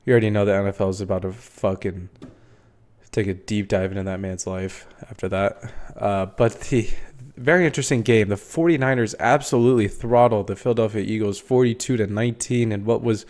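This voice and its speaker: Young male podcast host, stuffy nose, lower register, loose filler-heavy sports chat. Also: fairly even intonation, a little fast, a little mellow. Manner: rambling, filler-heavy, swears casually. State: congested. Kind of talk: casual solo sports talk.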